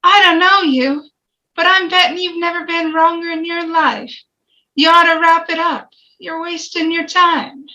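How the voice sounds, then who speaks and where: A woman's voice: very high-pitched, fairly even intonation, a little slow, pushed and bright, full character voice. A woman playing a plain-spoken, self-assured working man on a jury in an amateur table read. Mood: blunt, chatty. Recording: dull, lo-fi microphone.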